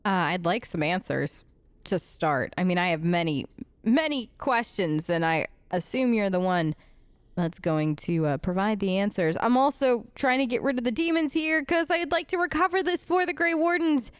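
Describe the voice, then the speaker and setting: A woman's voice: mid-range voice, normal speed, clean tone, no room sound. A woman hosting a role-play game let's play, talking casually in character with a hesitant, playfully sarcastic, conversational manner.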